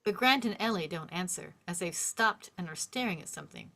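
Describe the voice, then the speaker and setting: A woman's voice: on the low side, lively intonation, a little fast, creaky, bright, forceful tone. A woman reading stage directions as a scripted narrator, in a vivid descriptive storytelling manner.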